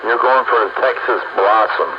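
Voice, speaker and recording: Middle-aged or older man, average pitch, folksy casual talker, heard through dull radio audio with clear noise. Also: steady tone, normal speed. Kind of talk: casual chat. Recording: muffled, noisy two-way radio transmission.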